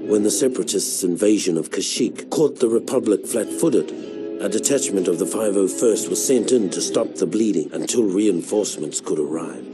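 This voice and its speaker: An actor playing a world-weary veteran clone soldier, narrating his war memories in a measured, solemn way for a video game. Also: deep voice, loud music behind the voice, lightly acted. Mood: grim, resolute. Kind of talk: scripted narration, military memoir.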